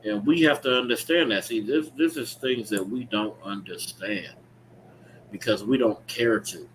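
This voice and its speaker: A man's voice: lowish voice, measured pace. Emphatic male preacher over noisy background. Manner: emphatic, repeats phrases and checks in with listeners. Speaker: preacher leading a scripture teaching. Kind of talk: street-preaching style sermon with call-and-response reading. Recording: clearly noisy recording with ambient background sound.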